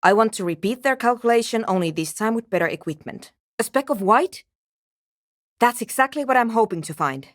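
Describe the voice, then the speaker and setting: A woman's voice: on the high side, lively intonation, a little fast, dry recording, lightly acted. An actress playing a curt, skeptical, world-weary woman programmer in a video game, speaking in a brusque, dry, dismissive way. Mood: terse, absorbed. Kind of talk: video game character dialogue.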